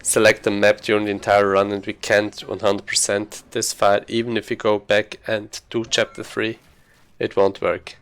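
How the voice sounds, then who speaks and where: A man's voice: lowish voice, monotone, moderate pace, hardly any pauses, a bit bright, smooth. A man giving casual live commentary as he streams a speedrun, informally thinking aloud while he plays.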